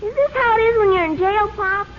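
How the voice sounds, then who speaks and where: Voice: extremely high pitch, a little fast. A young performer playing a cheeky, guileless boy in a 1940s radio family comedy. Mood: eager, guileless. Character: cheeky young boy, eager son of the household. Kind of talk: 1940s radio sitcom acting. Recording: noisy, muffled old radio recording.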